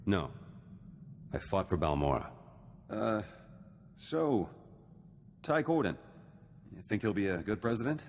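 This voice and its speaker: Game role: battle-worn veteran, low-voiced, terse, suspicious, echoing space with faint noise. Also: male voice, sing-song, unhurried, long pauses. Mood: guarded, terse. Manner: clipped, terse fragments. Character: gruff, distrustful male war veteran, terse and efficient.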